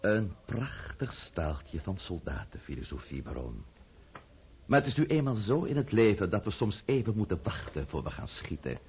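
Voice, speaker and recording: An actor playing a cunning, menacing count in a Dutch radio play, silky and mockingly polite. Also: male voice, lowish voice, sing-song, moderate pace, breathy edge, exaggerated voice. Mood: sardonic, insinuating. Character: cunning, menacing aristocratic count, coolly sardonic schemer. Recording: thin, phone-like old radio play recording.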